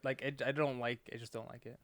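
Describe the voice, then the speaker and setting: A man's voice: medium pitch, little pitch movement, quick pace, no room sound, clean studio sound. A man co-hosting a show, chatting casually and playfully, often repeating himself, with other voices behind him. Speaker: video game show co-host. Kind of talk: casual chat and banter.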